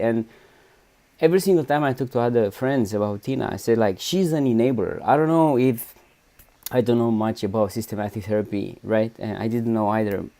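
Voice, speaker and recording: A man's voice: on the low side, gravelly, mellow. A Romanian-born man with a Romanian accent giving an unscripted testimonial as a therapy client, hesitant, restarting often and tagging sentences with "right?". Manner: hesitant, many restarts and fillers, frequent "right?" tags.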